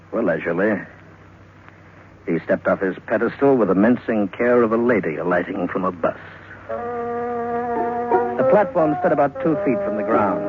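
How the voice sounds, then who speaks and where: A man narrating radio horror in a theatrical 1940s style, suspenseful, with deliberate pauses. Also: on the low side. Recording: tinny vintage radio recording, music behind.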